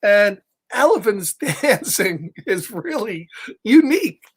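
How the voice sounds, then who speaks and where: A middle-aged man reading aloud and telling casual stories to children in an encouraging, expressive, chatty way. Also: high voice, takes it slowly, slight background noise. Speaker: storytime reader for children. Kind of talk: animated read-aloud and casual personal storytelling.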